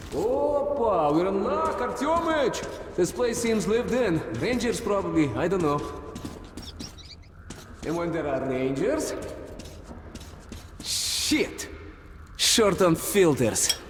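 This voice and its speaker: Gruff, high-pitched Russian survivor in echoing space. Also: male voice. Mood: urgent, gruff. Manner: slangy, swearing, urgent. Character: gruff, profane, excitable Russian male survivor comrade. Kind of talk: video game character acting. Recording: echoey hall, music or noise behind.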